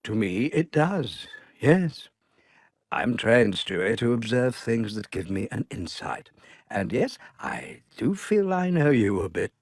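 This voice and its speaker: An actor playing a patient older male psychologist with a British accent and a measured, formal manner. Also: medium pitch, big pitch swings, vocal fry, no room sound, clean studio sound, heavily acted. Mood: measured, firm. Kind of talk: animated sitcom dialogue.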